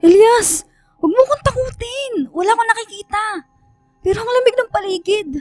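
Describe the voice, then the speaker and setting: A woman's voice: very high voice, brisk, no echo, studio quality. An actress playing a frightened young woman, the hero's questioning friend, pleading anxiously in a radio drama.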